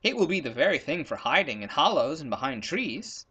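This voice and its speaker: A man voicing a practical, bossy hunter in a children's storybook, brisk and instructive. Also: on the high side, very animated, pushed and bright, slightly rough, full character voice. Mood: decided. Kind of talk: storybook character voice in a read-aloud.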